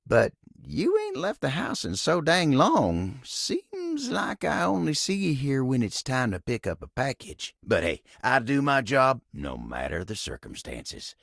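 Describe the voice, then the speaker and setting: A man voicing a folksy, bashful, old-fashioned talking table in a video game, with a gravelly Southern US drawl and a polite, rambling, easygoing way of talking. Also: medium pitch, huge pitch swings, normal speed, hardly any pauses, a bit bright, dry recording, studio quality, exaggerated voice. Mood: friendly, reflective. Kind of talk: video game character dialogue.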